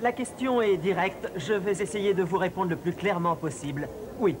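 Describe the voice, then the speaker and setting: A man's voice: high-pitched, moderate pace, heavy background noise, close to an everyday voice. A man playing an earnest, driven young male champion skier in a cartoon dub. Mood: composed. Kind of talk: French cartoon dub acting.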